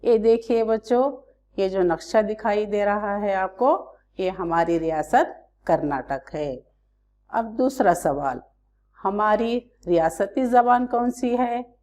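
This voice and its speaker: A woman's voice: medium pitch, lively intonation, slow pace, darkish tone. A woman teacher from India giving an online class, asking pupils questions and explaining carefully.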